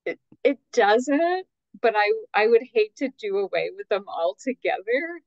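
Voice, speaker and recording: A woman answering as an expert guest in a conversational, measured and tentative way, qualifying her points. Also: a little high, sing-song, dry recording, lo-fi sound.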